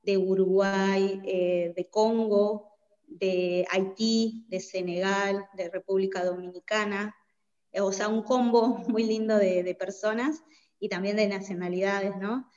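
A dull, lo-fi recording of a woman from Bolivia with a mid-pitched voice. She speaks as an interview guest in a conversational, explanatory way, often adding small tag questions. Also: steady tone, slow, a little mellow. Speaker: podcast guest, migration social worker.

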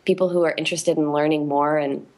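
A woman with a notably low voice speaks softly and thoughtfully on a podcast. She talks in a casual, appreciative way, with easy fillers between her thoughts. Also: steady tone, quick pace. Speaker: podcast co-host.

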